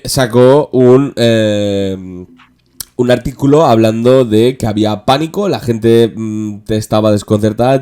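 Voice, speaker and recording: A man with an accent from Spain hosting a casual, joking political chat, sarcastic and halting in delivery. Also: medium pitch, dark tone. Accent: Spanish from Spain. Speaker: podcast host.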